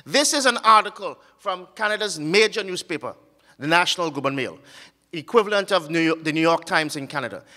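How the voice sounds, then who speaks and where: A man giving an academic conference talk with slides, earnest and halting, often pausing on fillers and restarting his sentences. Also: fairly high pitch, expressive, sharp tone, big-room sound. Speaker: conference speaker. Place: conference hall.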